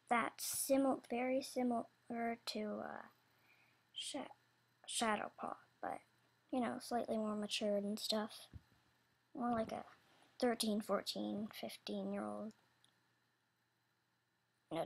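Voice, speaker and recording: A woman's voice: a little high, creaky, fairly bright tone, a little hiss or hum. A woman giving a casual, loosely scripted announcement about animation characters in a hesitant, rambling, apologetic way. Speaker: animator describing characters for voice auditions.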